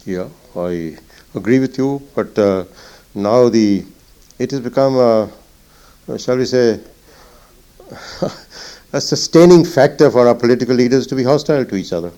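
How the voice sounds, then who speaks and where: An older man, a retired naval officer recalling the past in an interview, reflective and halting with many fillers. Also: medium pitch, dark tone. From Punjab. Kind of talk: oral history interview.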